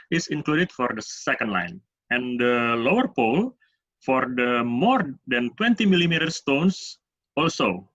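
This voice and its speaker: A man with an Indonesian accent giving a medical lecture as a urologist, speaking in halting, hesitant phrases. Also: mid-range voice, a bit bright. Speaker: urologist presenting at a medical webinar. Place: online webinar. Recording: dull, roomy webinar audio.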